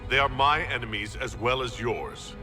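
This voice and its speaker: A forceful, resolute fantasy hero. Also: male voice, mid-range voice, lively intonation, normal speed. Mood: measured, questioning. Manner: firm, direct, earnest. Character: resolute, diplomatic male military leader, fantasy hero. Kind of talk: dramatic video game acting. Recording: long hall reverb, music underneath.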